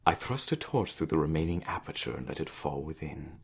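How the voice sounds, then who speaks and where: A man giving a theatrical, emphatic literary narration of a Gothic horror story, with deliberate pauses. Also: a bass voice, animated, gravelly, husky. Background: music.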